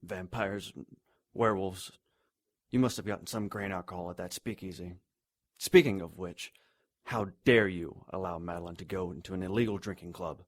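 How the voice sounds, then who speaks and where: Elderly scheming uncle, low-pitched, haughty, indignant, formal diction, throat-clearing, dying, audio drama villain. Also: male voice, steady tone, moderate pace. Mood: indignant, angry. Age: older. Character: bitter, scheming, dying old man, haughty rich uncle.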